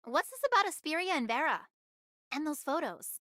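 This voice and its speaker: A woman's voice: high voice, big pitch swings, normal speed, a bit bright, dry recording, clean studio sound. A woman voicing a bossy, sly young woman in an anime game, commanding and teasing in manner. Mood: suspicious, bossy.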